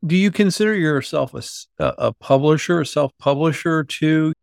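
A man's voice: fairly high pitch, moderate pace, vocal fry, no room sound, clean studio sound. A man interviewing in a casual, loosely rambling way. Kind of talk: casual chat.

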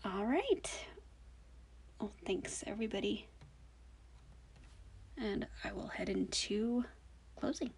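A woman's voice: medium pitch, expressive, takes it slowly, creaky, pauses often. A woman with a young-sounding voice hosting a podcast, musing hesitantly with many fillers. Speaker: podcast host. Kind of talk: casual solo podcast commentary.

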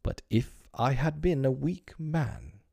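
A man's voice: mid-range voice, lively intonation, slow pace, creaky, a little mellow, slightly rough, no room sound, clean studio sound, pitched above the performer's own voice, exaggerated voice. A man doing a pompous self-pitying father. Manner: grand, self-pitying, exclamatory. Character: pompous, sentimental middle-aged father. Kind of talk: audiobook character voice.

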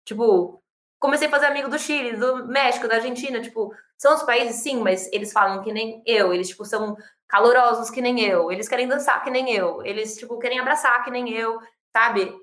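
Fast-talking Brazilian woman in an echoey room. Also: fairly high pitch. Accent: Brazilian Portuguese. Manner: fast, animated, many fillers and restarts. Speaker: startup founder. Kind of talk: interview answer.

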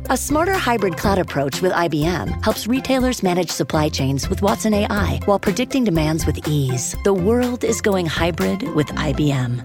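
A woman doing a scripted voice-over for a corporate commercial. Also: fairly low pitch. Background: music bed.

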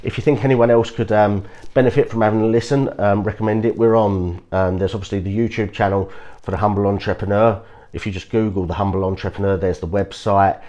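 A man hosting a podcast, sounding croaky from a cold, giving earnest casual advice with frequent restarts and repeated reassurances to his listeners. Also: fairly low pitch, fairly even intonation, a little fast, mellow. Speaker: podcast host. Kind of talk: casual motivational talk.

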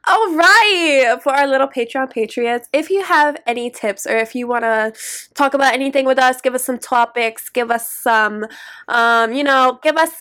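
A woman's voice: sits high, normal speed, a bit bright. A young woman co-hosting a casual late-night podcast chat, giggling, teasing and swearing freely. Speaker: podcast co-host.

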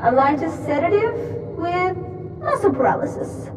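A woman's voice: extremely high pitch, animated, normal speed. A woman playing a cold, commanding medic giving clinical orders in a sci-fi audio drama. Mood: detached, commanding. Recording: distant echoing hall, noisy ambience.

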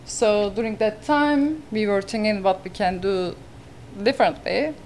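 A woman in her thirties, originally from Turkey with a Turkish accent and Turkish as her first language, talking in a podcast interview as a real estate agent in earnest, halting phrasing. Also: medium pitch, darkish tone, slight background noise.

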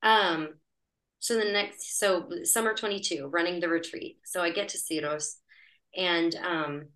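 Bright-voiced chatty woman. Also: fairly low pitch, fairly even intonation, a little slow. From United States. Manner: chatty, breezy, laughs while telling stories. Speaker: podcast guest. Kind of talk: casual storytelling in conversation.

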